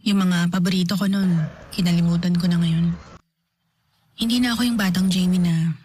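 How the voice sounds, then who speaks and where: A woman's voice: on the low side, fairly even intonation, normal speed, a little mellow, a little hiss or hum, close to an everyday voice. A woman playing a guarded woman in her thirties. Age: 30s. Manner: hesitant, apologetic, guarded. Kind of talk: radio drama acting.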